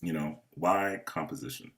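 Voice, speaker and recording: A man hosting and moderating a panel of composers over a video call, speaking in an unhurried, earnest way. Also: a bass voice, fairly even intonation, a bit bright. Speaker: host and festival curator.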